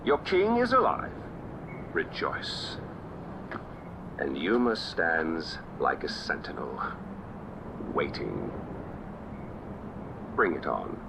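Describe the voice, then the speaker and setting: An actor playing a flamboyant, sardonic, self-assured tyrant king in a video game, with mock-polite phrasing and deliberate pauses. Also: very deep voice, wildly swooping pitch, creaky, background noise, full character voice. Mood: defiant, boastful. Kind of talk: video game cutscene acting.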